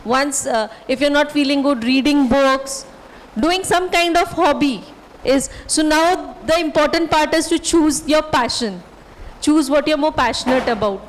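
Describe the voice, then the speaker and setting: Woman, high voice, reassuring panelist, hall reverb. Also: measured pace, slight background noise. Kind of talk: advising a student at a panel.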